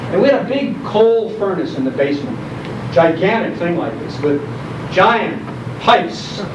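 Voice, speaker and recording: Echoey, high-pitched older American man storytelling. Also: expressive. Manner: theatrical storyteller with deliberate emphasis. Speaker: guest speaker, radio nostalgia host. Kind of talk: live talk telling nostalgic stories to an audience. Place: indoor program venue before an audience. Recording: echoey, noisy, muffled live hall recording, distant microphone.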